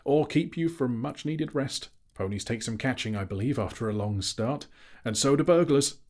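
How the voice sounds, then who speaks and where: A man voicing a small, timid but plucky male hobbit in a storybook, nervously polite with flowery flattery. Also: mid-range voice, normal speed, voice pushed up, close to an everyday voice. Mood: nervous, cheeky. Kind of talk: audiobook character voice.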